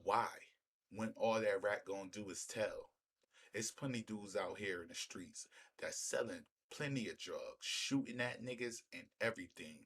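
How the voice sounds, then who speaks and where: A man's voice: fairly low pitch, normal speed, fairly bright tone, husky. A man giving opinionated street-talk commentary in an emphatic, repetitive way, often using rhetorical questions. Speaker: commentator hosting a reaction video.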